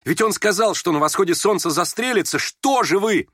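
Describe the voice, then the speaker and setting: A man's voice: high voice, animated, fairly quick, fairly bright tone, dry recording, clean studio sound, voice pushed up. A man voicing a frightened, impulsive young woman with urgent, pleading exclamations in a story reading. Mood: terrified pleading.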